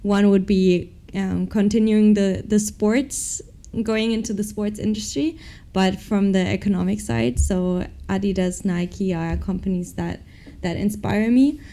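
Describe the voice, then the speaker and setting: A woman's voice: mid-range voice, fairly even intonation, unhurried, warm tone. A young woman, a former athlete now studying business, answering interview questions in a hesitant, upbeat way with many restarts. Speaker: MBA student, former professional athlete.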